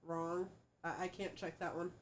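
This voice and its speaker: A woman's voice: on the low side, flat delivery, a little slow. Woman co-host from the Midwest, emphatic and ranting, keeps hammering her point. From American Midwest. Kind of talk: casual opinionated chat.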